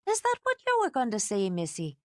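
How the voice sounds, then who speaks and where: A woman's voice: a little high, wildly swooping pitch, fairly quick, no room sound, studio quality, pitched above the performer's own voice. A woman voicing a stern, bossy older housekeeper who scolds and lectures out of fierce fondness. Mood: scolding.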